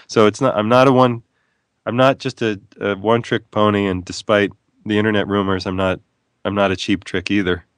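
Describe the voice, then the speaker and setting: A man's voice: on the low side, level tone, fairly quick, no echo. A man who is an author, talking as a guest in a casual radio interview, playful and wry, wandering off on tangents.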